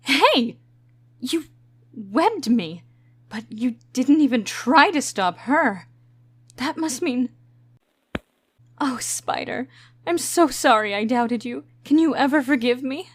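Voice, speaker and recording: A woman's voice: sits high, expressive, slow pace, pauses often, breathy edge, no room sound, clean studio sound, exaggerated voice. A woman playing a sultry, possessive, jealous antiheroine lover in a comic dub, with a theatrical manner. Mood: wounded, then apologetic.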